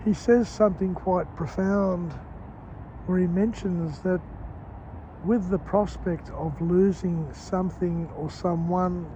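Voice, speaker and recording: A croaky-voiced man walks outdoors at dawn, filming a vlog as he goes. He speaks in short, slow phrases. The muffled recording picks up clear traffic noise around him. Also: high voice, mellow. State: hoarse, croaky voice. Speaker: vlogger. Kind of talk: walk-and-talk book summary vlog. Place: outdoors on a city street at dawn.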